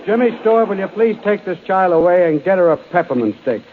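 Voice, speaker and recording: A man's voice: high voice, expressive, fast talker, very noisy. An American man emceeing a 1930s radio variety show as an exasperated straight man. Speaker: master of ceremonies. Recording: tinny, band-limited 1930s radio recording.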